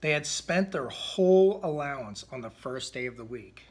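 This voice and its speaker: A man reading a children's picture book aloud in an expressive way, with the odd stumble. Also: on the high side, moderate pace. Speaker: storybook reader.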